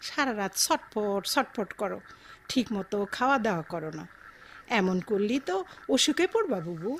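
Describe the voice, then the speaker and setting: A woman's voice: mid-range voice, big pitch swings, moderate pace, slight background noise. A woman playing a concerned, scolding village companion in a Bengali audio drama, blunt and familiar, with a rural Bangladeshi accent.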